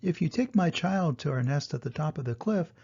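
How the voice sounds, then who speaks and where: A parent eagle from a children's story, acted out by an older man, gives instructions and cautions. It is creaky, on a slightly muffled recording. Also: fairly high pitch, expressive, brisk, darkish tone, full character voice. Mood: advising. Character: parent eagle, bargaining, helpful guide.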